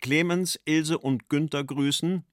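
A man's voice: fairly high pitch, fairly even intonation, unhurried, no echo, clean studio sound, close to an everyday voice. An actor playing a post-war German writer, reading out his letters and verse in a composed literary style.

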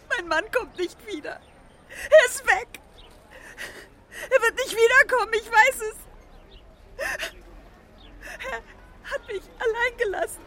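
A woman's voice: very high voice, a bit bright, slight background noise, exaggerated voice. An actress in a radio drama playing a distraught, fragile woman searching for her missing husband. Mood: anguished, tearful. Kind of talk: dramatic German radio play acting.

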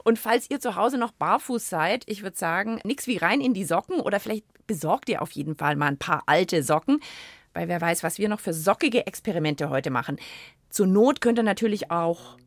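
A woman's voice: medium pitch, moderate pace, no echo. Lady presenting a kids show, chatty and playful, voice goes up and down a lot, dry studio. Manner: animated, playful. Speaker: radio show host. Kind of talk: casual, playful children's radio show chat.

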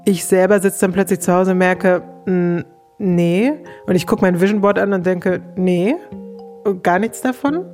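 A woman's voice: lowish voice, unhurried, darkish tone, faint music behind. A woman telling her own story casually and frankly, quoting her thoughts in colloquial speech.

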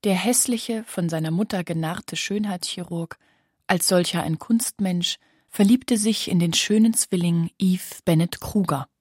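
Husky, low-leaning woman reciting literary prose. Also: unhurried, a little mellow, dry recording, studio quality.

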